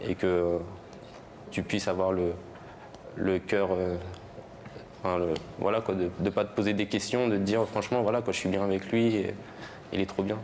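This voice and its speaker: A young man with a cold, making a hesitant, halting love confession on a TV studio stage. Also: deep voice, a little mellow. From Normandy. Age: young adult. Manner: hesitant, halting, many restarts and fillers. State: has a cold. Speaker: guest declaring his love. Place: large TV studio with audience. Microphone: lapel mic. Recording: studio with clear background noise.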